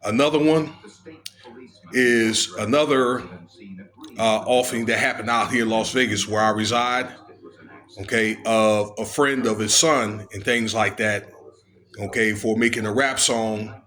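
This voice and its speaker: An American man giving informal, unscripted commentary on legal news, halting as he goes and often checking in with the listener. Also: on the low side, fairly even intonation, fairly bright tone. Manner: halting, with frequent checking tags. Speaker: video commentator.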